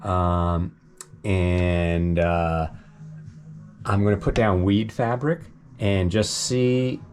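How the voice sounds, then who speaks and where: A man with a very low, deep voice talks casually about his property. He rambles with plenty of fillers and restarts and chuckles now and then. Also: a little mellow. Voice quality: deep voice that carries. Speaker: vlogger giving a property update. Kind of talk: casual rambling talk to camera. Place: home property in the Pacific Northwest forest.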